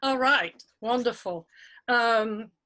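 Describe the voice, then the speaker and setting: A woman's voice: a little high, slow pace, sharp tone. A woman from France in her sixties with a French accent, a retired teacher chatting encouragingly through a video-call workshop. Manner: chatty, encouraging, laughs often. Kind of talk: professional development webinar talk.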